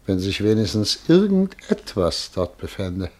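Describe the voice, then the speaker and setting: An actor playing a vain, dismayed petty official, muttering in stunned disbelief in a radio drama. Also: male voice, lowish voice, very animated, measured pace, darkish tone. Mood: dismayed, bewildered. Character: dismayed, vain petty official lamenting his vanished nose.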